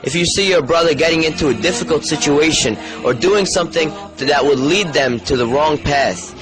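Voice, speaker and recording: A man's voice: on the high side, moderate pace, rough recording. A man preaching in an earnest, exhortative sermon style that mixes storytelling with direct appeals. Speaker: Islamic preacher. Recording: voice over background music. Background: music.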